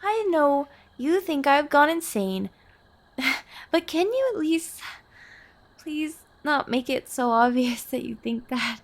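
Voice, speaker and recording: A woman's voice: high-pitched, lively intonation, slow pace, full character voice. A woman playing a nervous, earnest young girlfriend in an intimate audio roleplay, hesitant and pleading in her delivery. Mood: pleading, exasperated.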